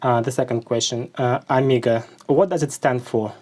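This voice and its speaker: A man's voice: mid-range voice, fairly even intonation, normal speed, darkish tone. A man with a Russian accent, a native Russian speaker, hosting an interview and asking questions hesitantly with many fillers. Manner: hesitant, many uhs and restarts. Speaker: interview host.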